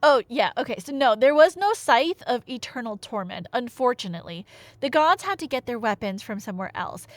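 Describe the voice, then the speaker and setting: A high-voiced woman gives a casual, comic retelling of myth. Her pitch is very lively and she wanders into nerdy, slangy asides. The recording is dry and close. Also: a little fast, dry recording. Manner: nerdy, slangy, animated, self-interrupting asides. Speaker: audio roleplay performer and storyteller.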